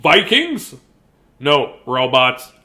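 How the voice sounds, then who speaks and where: An exasperated, self-important storybook narrator acted out by a man, whiny and insistent. The voice leaps up and down with bright, forceful protest. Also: mid-range voice, big pitch swings, extremely slow, exaggerated voice. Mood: indignant.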